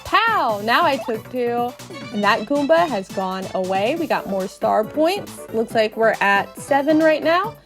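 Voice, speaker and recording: A woman narrating a video game playthrough in a cutesy, expressive storyteller manner, with playful sound words. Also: fairly high pitch, unhurried. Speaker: podcast host doing a dramatic read-along of a video game. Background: music.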